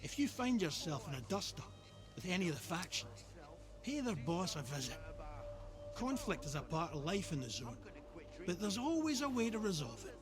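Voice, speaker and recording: A male veteran guide in a survival game, impatient and dismissive. His voice sits a little high for a man and moves in lively swings, brushing off the rookie he advises. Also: normal speed, long pauses. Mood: brusque, advising. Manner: brusque, dismissive. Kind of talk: video game dialogue.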